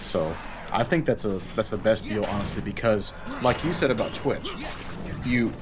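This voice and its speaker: Easygoing low-voiced man chatting. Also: lively intonation, normal speed. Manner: easygoing, chatty, filler-heavy. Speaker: co-op gaming guest. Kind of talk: casual chat over gameplay. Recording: music playing behind.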